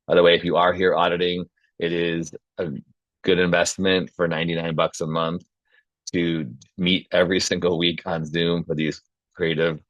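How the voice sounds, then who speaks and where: A man's voice: sits low, little pitch movement, normal speed, fairly bright tone, dry recording, lo-fi sound. A man casually hosting an online video meeting, informal and chatty with a promotional bent. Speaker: host and founder of a film community.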